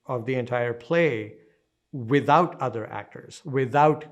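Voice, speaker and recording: A man's voice: mid-range voice, measured pace. A man from a production team giving an explanatory behind-the-scenes talk.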